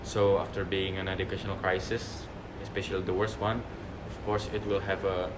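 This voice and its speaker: A man's voice: low-pitched, fairly quick. A young man from Indonesia moderating a student group discussion as its leader. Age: young adult. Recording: noisy room with steady background hum.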